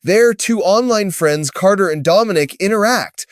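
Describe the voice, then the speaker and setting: A man's voice: high voice, animated, moderate pace, no echo. A man reading scripted explainer narration in a casual, wry way with joking asides.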